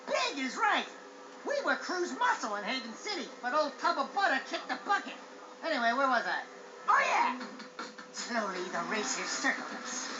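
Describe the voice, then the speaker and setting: A man voicing a small, boastful, excitable male animal sidekick in a video game cutscene, telling things in a brash, theatrical way. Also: very high-pitched. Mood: dramatic bragging. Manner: brash, bragging, theatrical storyteller. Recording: dull game audio under music.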